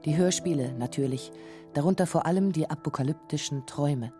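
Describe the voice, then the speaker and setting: A woman narrates a radio documentary from a prepared script. Her voice sits low and has a dark, mellow tone. Faint music plays behind her. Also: brisk.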